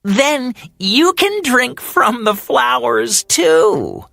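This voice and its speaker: A storyteller voicing a small, boastful, playful male hummingbird in a children's fairy tale, with an excitable, teasing, sing-song delivery. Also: medium pitch, very slow, vocal fry, no echo, pitched above the performer's own voice, full character voice.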